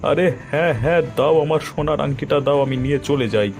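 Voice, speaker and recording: A man as a stern, greedy village doctor, highish voice. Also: fast, faint music behind, close to an everyday voice. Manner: blunt, insistent. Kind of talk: Bengali cartoon voice acting.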